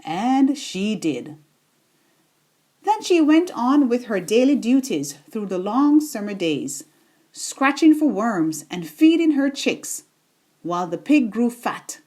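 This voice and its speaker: A woman reading a children's bedtime story aloud in a deliberate, expressive storytelling manner. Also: on the high side.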